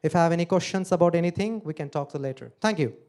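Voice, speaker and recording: A man's voice: on the high side, very fast, a little mellow. A man, a yoga master, giving a short conference speech in earnest, broken phrasing that repeats itself. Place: conference hall.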